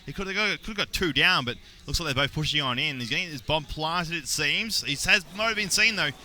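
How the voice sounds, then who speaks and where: A young adult man with an Australian accent doing play-by-play esports commentary, getting excited on big plays. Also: on the high side, fairly quick. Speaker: esports caster.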